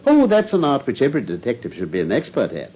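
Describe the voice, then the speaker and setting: An actor playing a self-assured English detective in a radio drama, telling things with brisk, wry amusement. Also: male voice, mid-range voice, huge pitch swings, breathy edge. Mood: wry storytelling. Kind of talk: British radio drama acting. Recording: dull, muffled radio drama recording.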